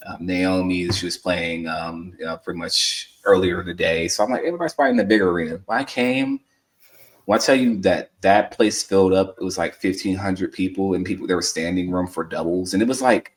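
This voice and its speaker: Low-voiced man in his thirties, rambling. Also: steady tone, brisk, distant mic. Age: 30s. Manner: rambling, filler-heavy storytelling. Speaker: sports podcast co-host. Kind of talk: casual podcast chat.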